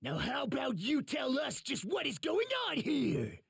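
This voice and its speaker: A gravelly, raspy voice that swings wildly in pitch, a hot-headed young brawler in an anime game. He is boastful, rough and mocking, recorded dry and close. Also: big pitch swings, measured pace, no echo, heavily acted. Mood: brash, aggressive. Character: brash, hot-headed, wild boastful young male fighter. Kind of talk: anime game cutscene acting.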